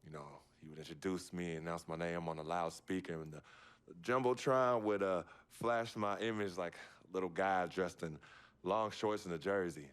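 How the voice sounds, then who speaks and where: A loyal young male ballplayer in a video game scene. His voice sits low. He talks naturally and earnestly, as someone casually telling a story from memory. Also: moderate pace, fairly bright tone, clean tone. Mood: nostalgic.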